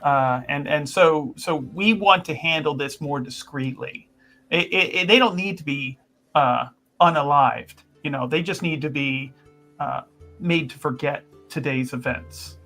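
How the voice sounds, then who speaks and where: A man playing a smooth-talking schemer in a tabletop game, persuading in a halting, wheedling, euphemistic way. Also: fairly high pitch, sharp tone, faint music behind. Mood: persuasive.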